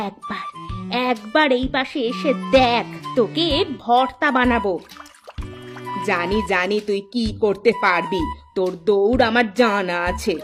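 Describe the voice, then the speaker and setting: Woman acting a high-pitched quarrelsome village wife. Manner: scolding, taunting, indignant delivery. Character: quarrelsome, sharp-tongued village wife, quick to take offence. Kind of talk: Bangla cartoon dialogue. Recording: music under the voice.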